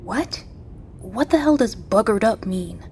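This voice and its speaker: Noisy ambience surrounds a tough American ex-marine survivor in an audio drama. She talks bluntly and guardedly, with a defiant edge and a medium-pitched voice. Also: expressive, unhurried, darkish tone.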